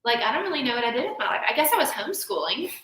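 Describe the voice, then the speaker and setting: A woman co-hosting a casual chat, animated and quick to act out quotes. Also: high-pitched. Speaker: podcast co-host. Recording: echoey room.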